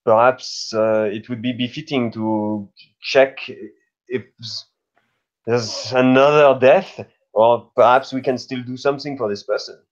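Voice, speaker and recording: A man's voice: mid-range voice, steady tone, measured pace. A man improvising as a player in a tabletop role-playing game, speaking in a hesitant, politely formal way. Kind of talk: improvised roleplay narration. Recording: dull lo-fi microphone sound.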